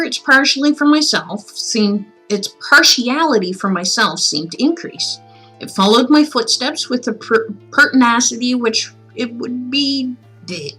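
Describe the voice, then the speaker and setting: A woman with a slightly high voice hosts a reading stream. She moves between expressive, measured storytelling and playful, casual chat. Faint music plays behind her. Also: rough recording. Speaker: livestream host reading classic tales aloud.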